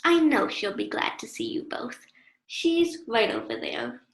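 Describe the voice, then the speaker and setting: High female voice, patient caregiver acted by a woman, gentle, reassuring, amateur radio drama, roomy echo. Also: expressive, unhurried. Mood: calm, reassuring. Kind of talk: amateur student radio play acting.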